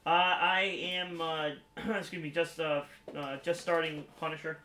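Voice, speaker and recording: A man's voice: on the high side, fairly even intonation, unhurried, pushed and bright. A man chipping into a casual podcast chat. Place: indoor room full of display cabinets. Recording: distant mic, echoey room.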